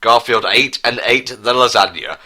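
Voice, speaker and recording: A man's voice: mid-range voice, moderate pace, bright, forceful tone. A man narrating a story as co-host of a comedic dramatic reading, with a mock-serious delivery.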